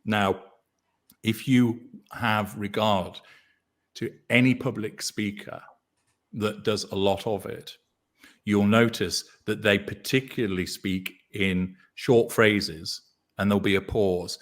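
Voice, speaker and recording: A man with a deep baritone voice, talking as a guest in a measured, deliberate way with dry dark humor. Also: fairly even intonation. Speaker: guest commentator. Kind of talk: casual chat.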